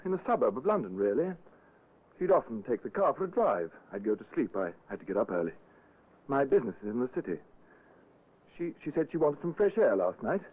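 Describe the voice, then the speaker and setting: Husky, halting grieving husband. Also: male voice, medium pitch. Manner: halting, breaking off and repeating himself. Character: anxious, grieving suburban husband, outwardly respectable. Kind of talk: old-time radio drama acting. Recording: thin muffled vintage radio recording.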